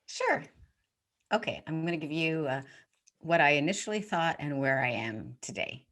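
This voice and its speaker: A middle-aged woman with a low voice for a woman, answering interview questions as a communication coach in an articulate, confident way. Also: animated, normal speed, fairly bright tone. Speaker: podcast guest, communication coach.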